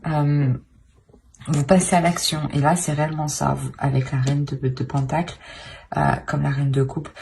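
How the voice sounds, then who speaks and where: A woman's voice: sits low, slight background noise. A woman giving a casual tarot reading to her viewers, hesitant, with many fillers and restarts. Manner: hesitant, many "euh" and restarts, soft-spoken asides. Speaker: tarot reader.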